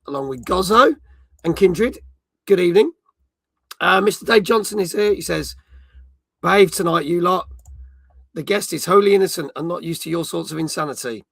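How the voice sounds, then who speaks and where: A middle-aged man hosting a casual live-stream chat, with a croaky voice and a cheeky manner as he banters with his viewers. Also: a little high, a bit bright, no echo. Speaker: host.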